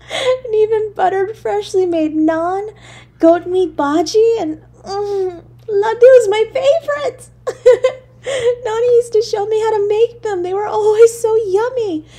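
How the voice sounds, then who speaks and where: A woman voicing a poor, lonely little girl in an audio drama, halting and breathy, with childlike stammering. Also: extremely high pitch, mellow. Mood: delighted awe. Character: poor, lonely, freezing little match girl, timid and yearning. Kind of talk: solo audio drama monologue.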